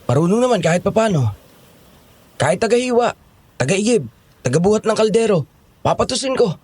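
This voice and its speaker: A man's voice: high voice, sing-song, brisk, a little mellow, husky, heavily acted. An actor playing a dutiful, polite and earnest male monster hunter in a horror audio drama. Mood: humble, eager.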